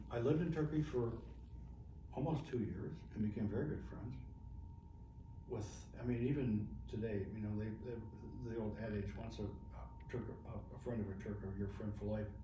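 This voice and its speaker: Middle-aged man, chatty veteran interviewee, laughs through his stories, miked from afar in a noisy room. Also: on the low side. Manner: chatty, laughs often, restarts mid-phrase. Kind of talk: casual storytelling interview. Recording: echoey room, distant mic, noisy.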